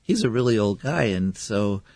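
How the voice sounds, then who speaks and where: Friendly guy doing an interview, chuckles a lot, lowish voice, dry mic. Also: lively intonation, normal speed, vocal fry, a little mellow, dry recording. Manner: conversational and affable, chuckling as he talks. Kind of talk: casual interview talk.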